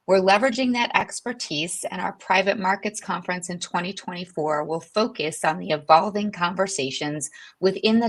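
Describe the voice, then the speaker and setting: Female interviewer, low register, polished business presenter delivering prepared remarks. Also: a bit bright. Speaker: interviewer and publishing executive.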